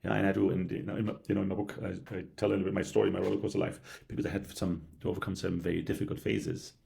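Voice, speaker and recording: A middle-aged man speaking earnestly as a podcast guest, halting with frequent restarts and a habitual "yeah". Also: deep voice, level tone, vocal fry, warm tone. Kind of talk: reflective conversational interview.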